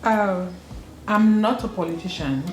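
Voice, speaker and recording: A woman's voice: mid-range voice, measured pace. A woman who runs a school, from northwest Cameroon, answering interview questions earnestly and repeating words for emphasis. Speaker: school proprietor and educator. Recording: roomy echo with faint music.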